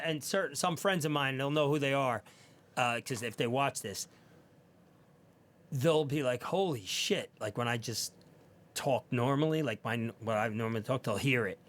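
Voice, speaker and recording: A man's voice: mid-range voice, fairly bright tone. A man with a Hudson County, New Jersey accent, a podcast guest who teases the others crudely. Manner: crude, teasing, profane. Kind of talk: casual podcast banter.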